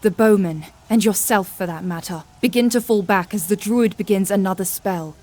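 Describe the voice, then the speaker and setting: A woman's voice: medium pitch, moderate pace, darkish tone. A woman narrating a scripted fantasy audio drama in a grave, vivid storytelling manner.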